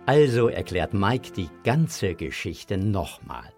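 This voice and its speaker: A man narrating a scripted German children's audio story with a slightly husky voice. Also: deep voice, very animated, slow pace, darkish tone, soft background music.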